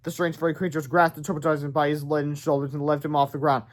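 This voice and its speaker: A man reading a children's book aloud as storyteller, animated, with casual asides and stumbles over words. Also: a little high, fast.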